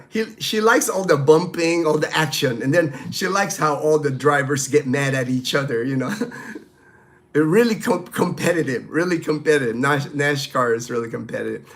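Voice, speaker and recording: A middle-aged man from the Philippines who grew up speaking Tagalog talks in a relaxed, rambling way, full of "uh" and "you know." His voice sits a little high for a man. He sounds like a friendly live-stream host working through viewer comments. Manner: rambling, friendly, many fillers and restarts. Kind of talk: casual live-stream chat.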